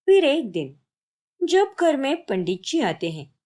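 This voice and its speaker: A woman's voice: high-pitched, sing-song, slow, a little mellow. A woman narrating a scripted moral family story in a dramatic storytelling style.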